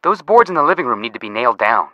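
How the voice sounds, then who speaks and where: A brash young male detective dropping hints in a video game. His voice is bright and forceful, in a dry close recording. He jokes his way along with exaggerated emphasis. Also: mid-range voice, fast, dry recording, dull audio. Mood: upbeat, coaxing. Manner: animated, joking, exaggerated emphasis. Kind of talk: video game hint dialogue.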